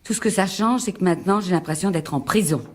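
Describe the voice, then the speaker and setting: An actress playing an anxious, fragile wife in a radio mystery drama, husky, plaintive and reproachful. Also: female voice, on the low side, quick pace, close to an everyday voice. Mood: anxious, accusing. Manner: plaintive, pleading.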